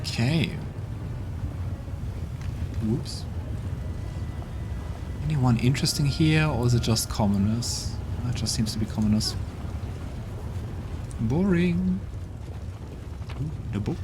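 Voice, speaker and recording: A man hosting a let's play, reading game text aloud and commenting in a relaxed, offhand way. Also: medium pitch, slow, pauses often, background noise. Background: game music.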